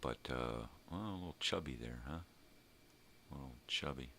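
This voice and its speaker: An older man with a very deep, gravelly voice chats casually in a rambling, drawn-out way, as a vlog host. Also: expressive, fairly bright tone, slight background noise. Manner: rambling, drawn-out, mild swearing.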